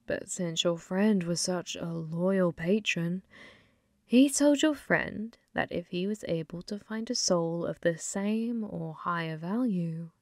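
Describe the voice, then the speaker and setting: Woman playing a slow, creaky-voiced seductive demoness. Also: on the low side, darkish tone, dry recording, clean studio sound. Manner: slow, teasing, intimate, often dropping to a whisper. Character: flirty, possessive, taunting female demon casino staffer. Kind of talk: ASMR audio roleplay.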